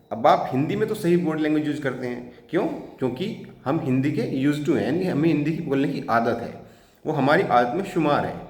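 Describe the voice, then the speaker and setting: A man's voice: a little high, a little mellow. A man teaching a spoken English lesson in Hindi, chatty, explaining through anecdotes and checking questions. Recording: echoey room.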